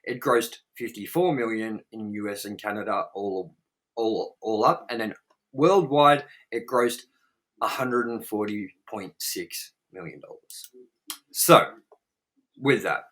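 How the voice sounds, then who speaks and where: A man's voice: fairly low pitch, slow, a bit bright. A man hosting a casual movie review chat, exasperated and prone to swearing. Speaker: host of a movie review series.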